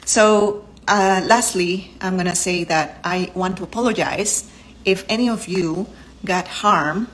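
A woman's voice: mid-range voice, unhurried, a little hiss or hum, poor audio. A woman in her thirties whose first language is Spanish, speaking English with a Spanish accent. She talks to the camera at home and explains health topics in an earnest way, listing terms one by one. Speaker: vlogger and doctor. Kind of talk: casual talk to camera. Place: home living room, indoors.

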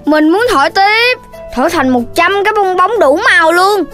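A voice actor playing a playful young animal child in a cartoon, in a bouncy, eager childlike voice. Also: female voice, very high-pitched, lively intonation, normal speed, fairly bright tone. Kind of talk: cartoon dubbing. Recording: cartoon with music underneath.

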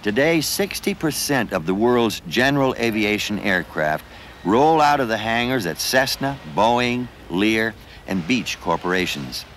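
A man reading scripted television documentary narration in a measured, earnest storytelling manner. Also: fairly low pitch, lively intonation, fairly bright tone, a little hiss or hum. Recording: music bed behind voice. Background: music.

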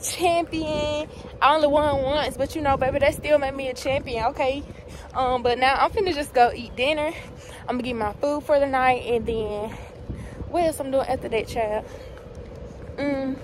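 A woman's voice: high voice, normal speed, noisy background. A young college woman, chatting expressively to her phone camera in a casual vlog. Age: young adult. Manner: chatty and expressive, Southern slang. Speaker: college student vlogger. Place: campus, car and outdoors, mixed with voice-over. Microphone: phone held at arm's length.

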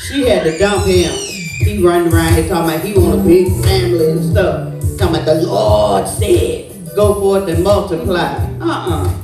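Far from the mic in a very echoey theater with music behind, a sassy gossiping girlfriend played by a woman speaks at medium pitch. She is blunt and emphatic in broad stage comedy style. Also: expressive, moderate pace, lightly acted. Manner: emphatic, blunt, repeats phrases. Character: sassy gossiping girlfriend egging her friends on.

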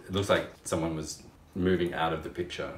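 A man's voice: sits low, steady tone, brisk, far from the mic. A young man hosting a casual cooking vlog, chatty and rambling as he tells stories while he cooks. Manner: chatty, rambling storyteller with many fillers. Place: small home kitchen. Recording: echoey kitchen, voice off-mic, some noise.

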